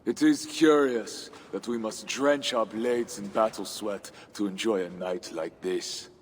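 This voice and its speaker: An actor playing a bitter, brooding Viking war leader in a video game, speaking gravely and deliberately. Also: male voice, fairly low pitch, slight background noise, lightly acted. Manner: grave, deliberate, questioning oratory. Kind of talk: dramatic video game cutscene acting. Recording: music under the voice.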